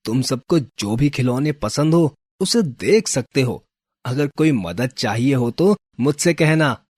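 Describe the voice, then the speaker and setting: A man playing a kindly, generous toy shop keeper in a children's story, speaking in a gentle, reassuring way. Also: medium pitch, animated, takes it slowly, breathy edge, dry recording, clean studio sound.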